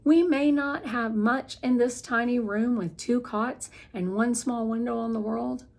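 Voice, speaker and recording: A woman narrating a children's novel as an expressive, deliberate read-aloud. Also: a little high, gravelly.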